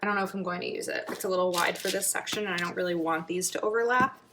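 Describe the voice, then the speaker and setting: A woman chatting casually while decorating her planner, thinking aloud and sometimes dropping to a murmur, her throat a little raspy from a long filming session. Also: on the low side, racing, noisy background. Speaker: planner vlogger. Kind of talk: casual planning video chat.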